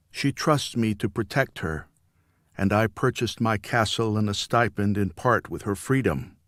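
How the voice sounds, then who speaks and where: A man narrates a novel in a low voice at a very slow pace. His delivery is solemn and deliberate, like a careful audiobook reading, and the sound is dry and close. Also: no echo.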